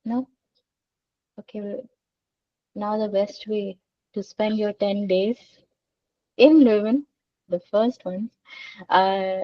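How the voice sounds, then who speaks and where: A woman's voice: mid-range voice, no room sound. Distant, muffled young woman from India. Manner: friendly, informal, slightly halting. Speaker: student association organizer. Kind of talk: webinar presentation.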